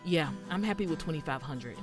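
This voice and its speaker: An actress playing a sassy but sensible thief in an audio drama, with a wry, conversational delivery. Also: female voice, deep voice, animated, fairly quick, music in the background. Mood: firm. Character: sassy, sensible female thief, easily exasperated.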